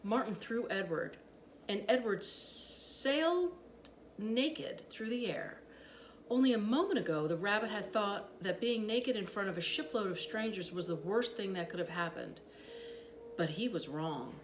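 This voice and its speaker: A woman reading a children's book aloud as an expressive storyteller, with dramatic pauses and brief asides. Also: medium pitch, a little hiss or hum. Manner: animated, dramatic pauses, acts out dialogue.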